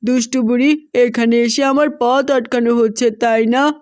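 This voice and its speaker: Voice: mid-range voice, fairly even intonation, moderate pace, darkish tone, clear, resonant tone, dry recording, clean studio sound, natural voice. An actor voicing a greedy, rude male village moneylender in a cartoon fairy tale, with a scornful, bullying manner. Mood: scornful, impatient.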